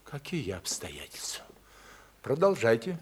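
A man's voice: mid-range voice, wildly swooping pitch, a little slow, husky, full character voice. An actor playing an imperious older senator and father in a radio drama, speaking in a commanding, stern way. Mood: stern, stubborn. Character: imperious wealthy senator and father, accusing his own daughter. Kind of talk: Russian radio drama acting.

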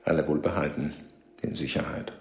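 An actor playing a cool, wryly courteous captor and interrogator in a radio drama, with a terse, dry, controlled delivery. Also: male voice, very deep voice, fairly even intonation, fairly quick, creaky. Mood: flat, matter-of-fact. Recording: roomy sound with music underneath.

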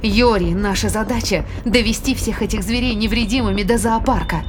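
An actress plays a cautious woman in a dramatic audio play, with strong music behind her. She is of middling pitch and protests in a reproachful, sarcastic way. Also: brisk, lightly acted. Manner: reproachful, sardonic.

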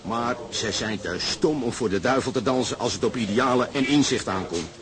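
An actor playing a fervent socialist sailor who lectures insistently and persuasively with emphatic questions, in a Dutch radio drama. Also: male voice, medium pitch, normal speed, noisy background, lightly acted. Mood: fervent, explaining.

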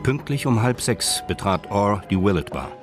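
An actor as the detached narrator of a radio crime drama, telling it in a measured, matter-of-fact way. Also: male voice, lowish voice, animated, over music, lightly acted. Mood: detached narration. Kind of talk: scripted crime story narration.

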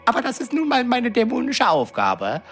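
An actress playing a small, fat, fawning demon servant with pompous, flowery courtly speech in a radio drama. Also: female voice, a little high, wildly swooping pitch, moderate pace, faint music behind. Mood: self-important. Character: small fat warty green demon servant, fawning, cheeky, self-important.

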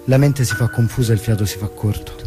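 A man's voice: on the low side, quick pace, natural voice. An actor playing an anguished, despairing young man in a poetic dramatic monologue. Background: music.

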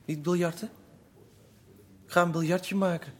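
An actor playing a withdrawn, wounded son in an institution, sullen and plaintive like a child, in a Dutch radio play. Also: male voice, high voice, fairly even intonation, unhurried. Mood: sullen, probing.

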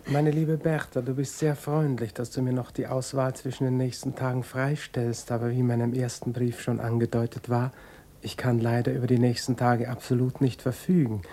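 Actor as a courteous evasive male lover, mid-pitched mellow voice, formal smooth delivery, 1960s radio drama. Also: level tone, moderate pace, close to an everyday voice. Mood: politely distant. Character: polite, evasive gentleman lover voicing his letter.

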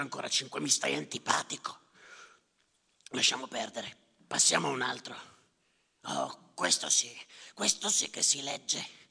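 Stage role: grumbling, self-pitying functionary, gravel-voiced, halting repetitive mutter, hall reverb. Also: male voice, mid-range voice, pushed and bright, heavily acted. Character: grumbling, self-pitying petty official tallying numbers in darkness. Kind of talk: theatrical monologue.

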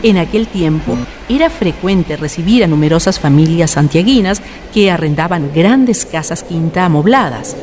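Lowish-voiced Chilean woman narrating. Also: animated, normal speed, hardly any pauses. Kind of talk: scripted radio drama narration. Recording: music bed behind narration.

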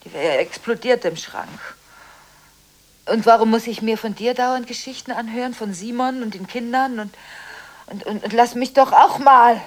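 High-pitched, frantic woman on the telephone played by an actress. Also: natural voice. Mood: hurt, reproachful, then desperate, accusing. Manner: halting, agitated, repeating words and breaking off. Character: distraught, contradictory woman making frantic phone calls. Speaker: lead actress in a radio play. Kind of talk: 1980s German radio drama acting, telephone monologue.

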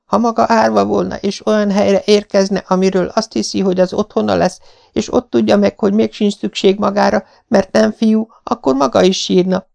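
A man's voice: sits high, fairly even intonation, creaky, darkish tone. A man reading an audiobook voices a dreamy, dramatic young orphan girl with a theatrical, pleading manner. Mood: despairing.

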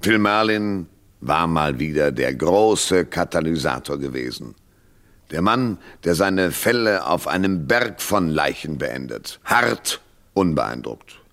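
An actor playing a world-weary, hard-boiled private detective in a 1970s German radio drama, sardonic and laconic. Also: male voice, very deep voice, big pitch swings, takes it slowly, a bit bright, full character voice. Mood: weary narration. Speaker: lead actor and narrator.

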